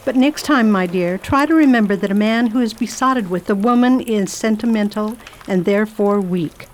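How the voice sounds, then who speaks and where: A formidable spinster travel writer who gives orders and talks down to everyone, as a woman acts her. Her manner is crisp, condescending and brisk. Radio play acting. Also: mid-range voice, a little hiss or hum, lightly acted. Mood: bossy. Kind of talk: amateur radio drama acting.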